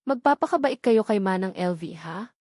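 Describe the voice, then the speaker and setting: A woman's voice: medium pitch, expressive, normal speed, darkish tone, no room sound, clean studio sound, lightly acted. A woman voicing an anxious young aunt in a love story, earnest and guarded. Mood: gently reassuring. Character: anxious, doubtful young aunt hiding her fear.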